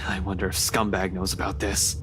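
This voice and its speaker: A man's voice: sits low, monotone, brisk, music in the background, pitched below the performer's own voice, natural voice. A man voicing a guarded, brusque investigator in a video game, questioning in a commanding manner. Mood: wry, musing. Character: armed investigator, guarded, brusque but caring.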